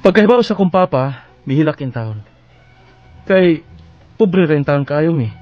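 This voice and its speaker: A Filipino actor with a Visayan accent playing an indignant, protective grown son from a poor family in a radio drama. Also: male voice, a little high, expressive, unhurried, lo-fi sound. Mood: sorrowful, aggrieved. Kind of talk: Bisaya radio drama acting and narration. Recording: radio drama with faint music behind. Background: faint music.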